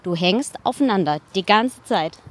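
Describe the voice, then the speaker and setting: A woman's voice: mid-range voice, lively intonation, moderate pace, slight background noise. A woman from Baden-Württemberg telling anecdotes as a guest in a casual, colloquial, self-deprecating way while walking along. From Baden-Württemberg, Germany. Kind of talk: casual chat, anecdotal storytelling.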